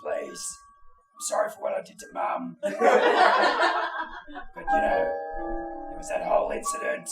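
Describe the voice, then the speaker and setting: A performer voicing a sullen, unhinged puppet camper with a gravelly voice, in an improvised comedy show. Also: medium pitch, huge pitch swings, unhurried, pauses often, roomy sound, over loud music, rough recording. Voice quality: gravelly, creaky.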